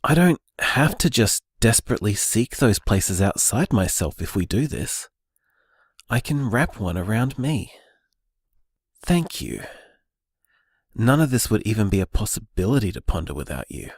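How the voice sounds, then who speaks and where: A man's voice: lowish voice, measured pace, breathy edge, dry recording, studio quality, heavily acted. An actor playing an earnest young man discovering luck magic, talking in a thoughtful, musing way. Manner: thoughtful, musing, lively delivery. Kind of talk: audio drama, voice-message monologue.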